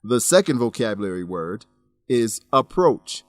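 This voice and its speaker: A man's voice: on the low side, sing-song, unhurried, no room sound, soft background music. A man narrating a radio drama and English lesson as host, explaining expressively in scripted, learner-friendly sentences.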